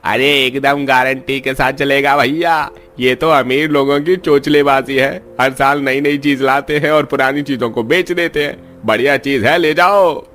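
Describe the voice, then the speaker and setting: A man's voice: mid-range voice, fairly even intonation, a little slow, hardly any pauses, a bit bright, a little hiss or hum, lightly acted. A man playing a slick, pushy street seller in a cartoon, calling out and assuring customers. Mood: persuasive.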